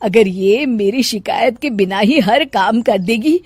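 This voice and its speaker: A woman voicing a lonely, fussy middle-aged mother-in-law in a moral story, with an expressive, drawn-out, complaining delivery. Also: a little high, a little hiss or hum. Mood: bossy, scheming. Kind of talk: animated moral-story character acting.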